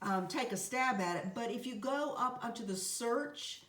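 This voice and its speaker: Deep-voiced woman, echoey, off-mic. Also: moderate pace, a bit bright. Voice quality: deep, smooth, trained. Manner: chatty, hesitant, many fillers. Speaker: Bible study co-host. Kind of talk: casual conversation. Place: home, phone mic away from her. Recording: roomy phone recording from a distance.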